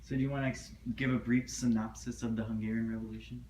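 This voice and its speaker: A man's voice: mid-range voice, little pitch movement, fast, a little mellow. A man interviewing a relative, asking short prompting questions in an oral history interview. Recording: dull echoey room, faint noise.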